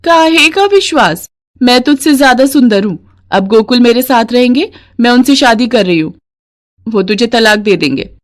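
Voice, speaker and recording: A scheming young beauty from a moral storybook, voiced by a woman narrator. Her voice is pushed high and she speaks with dramatic, brazen insistence. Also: animated, moderate pace, lightly acted. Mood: brazen, scornful.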